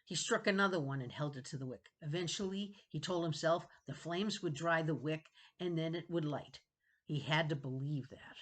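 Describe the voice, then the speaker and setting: A woman's voice: deep voice, normal speed. A woman narrating a children's novel dramatically, reading with expression and suspense. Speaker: storybook reader.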